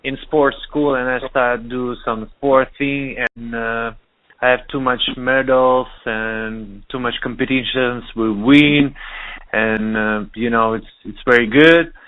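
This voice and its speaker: A man from Russia with a Russian accent, speaking halting English as a DJ being interviewed, pausing to search for words. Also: medium pitch, steady tone. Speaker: DJ and producer. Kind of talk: interview over the phone. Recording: tinny phone line.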